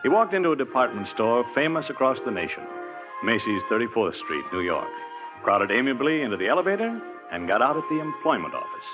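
An actor narrating as a wry, folksy storyteller in a 1940s radio drama. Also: male voice, medium pitch, very animated, brisk, lightly acted. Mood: folksy, admiring. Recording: muffled vintage radio, music underneath. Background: music.

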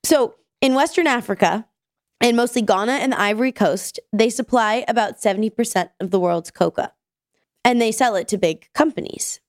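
Woman, podcast co-host, stuffy nasal voice, chatty, higher pitch, dry close sound. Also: normal speed, no room sound. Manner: chatty, tells stories with acted quotes. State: sick, nasal. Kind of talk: casual podcast chat.

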